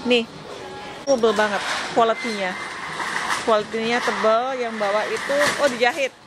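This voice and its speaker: A woman's voice: on the high side, steady tone, moderate pace, heavy background noise. A woman in her thirties with an Indonesian accent, chatting casually as a vlogger, slangy and exclaiming, mixing in English words. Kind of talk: casual shopping vlog chat. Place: indoor clothing shops in a busy mall.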